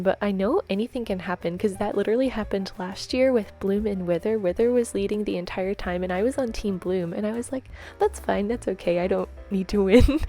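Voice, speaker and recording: A young woman chatting and rambling while she draws, soft-spoken and giggly, her voice a little off from a cold. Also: medium pitch. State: slightly congested from a cold. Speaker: art vlogger. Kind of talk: chatty voice-over while drawing. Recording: faint background music.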